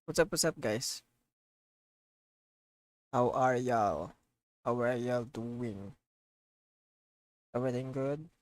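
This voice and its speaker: A man's voice: medium pitch, takes it slowly, pauses often, dry recording. A man livestreaming a game, chatting casually in a rambling, repetitive, slangy way. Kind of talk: casual livestream chat over gameplay. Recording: loud game music behind. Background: game music and audio.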